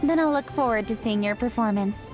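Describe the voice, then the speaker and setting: A woman voicing a calm, poised young woman. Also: fairly high pitch, a little fast, over music, close to an everyday voice. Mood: explaining. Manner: calm, explanatory, polite.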